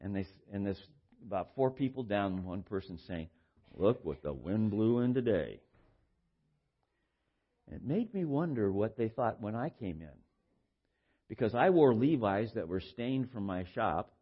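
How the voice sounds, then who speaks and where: An older man with a baritone voice preaching informally, halting and repeating words as he shares personal stories in a conversational way. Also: very animated, lo-fi sound. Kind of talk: informal sermon with personal anecdotes.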